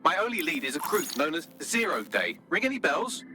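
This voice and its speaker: A man voicing a witty, dry, unflappable AI assistant in a video game, speaking in a crisp, formal, sardonic way. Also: fairly high pitch, very animated, brisk, sharp tone, soft background music, full character voice. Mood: dry, composed.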